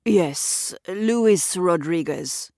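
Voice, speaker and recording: A woman's voice: on the low side, animated, very slow, dark tone, no echo, studio quality, full character voice. A woman voicing a terse, intense man who strains to be gracious in an audio drama, stiff and guarded. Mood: strained politeness.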